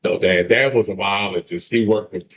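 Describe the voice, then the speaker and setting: A man from the eastern shore of Maryland with a deep voice, a comedian telling jokes and stories in a casual interview. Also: normal speed, fairly bright tone, slightly rough. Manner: joking, laid-back storytelling.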